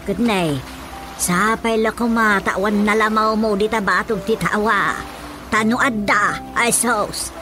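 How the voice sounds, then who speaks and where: A woman's voice: medium pitch, steady tone, slow pace, music in the background, natural voice. A woman playing a nagging, exclamatory elderly wife and mother in a comic radio drama. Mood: scolding.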